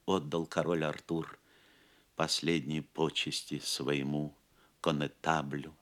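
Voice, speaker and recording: A man narrating an audio play as a dramatic storyteller, solemn, theatrical and measured. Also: extremely low pitch, level tone, a bit bright.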